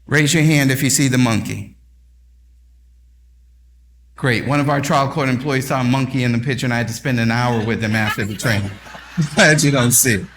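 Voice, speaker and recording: A man's voice: medium pitch, normal speed, long pauses, fairly bright tone, slight background noise. A man presenting at a training session, quizzing the audience and joking. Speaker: workshop presenter. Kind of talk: conference training talk.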